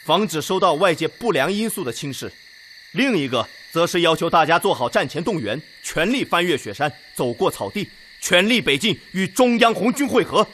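An actor playing a steady, authoritative Red Army commander with oratorical, commanding delivery in a radio drama. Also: male voice, on the high side, moderate pace, a little hiss or hum. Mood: resolute. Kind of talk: Chinese radio drama acting.

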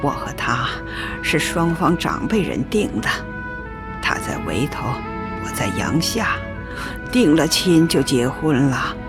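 A gentle old grandmother reminisces fondly in a radio drama. She speaks slowly with a lilting, shy delivery, and music plays loudly behind her. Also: deep voice, very animated, heavily acted. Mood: fond, wistful. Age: older. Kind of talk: broadcast radio drama acting. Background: loud music.

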